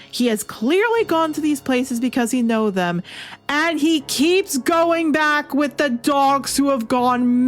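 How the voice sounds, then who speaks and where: A woman giving opinionated review commentary in an emphatic, sarcastic, incredulous manner. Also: high-pitched. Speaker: comic book reviewer. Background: music behind the voice.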